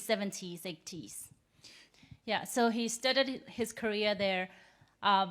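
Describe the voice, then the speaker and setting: A woman's voice: mid-range voice, fairly bright tone. Earnest woman with a Burmese accent. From Myanmar. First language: Rohingya. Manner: measured, earnest storytelling with pauses. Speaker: invited speaker. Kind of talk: personal testimony speech.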